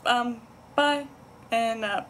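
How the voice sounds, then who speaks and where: Young girl vlogger, kinda high voice, rambly halting chat w lots of "like", bit of noise in the background. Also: fairly bright tone. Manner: halting, heavy use of "like", rambling. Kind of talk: casual rambling chat to camera. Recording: noticeable background noise, amateur vlog sound.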